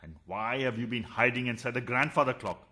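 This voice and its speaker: An actor playing a flustered, blustering husband in a farcical radio play, speaking in a halting way. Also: male voice, mid-range voice, bright, forceful tone, natural voice. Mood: indignant.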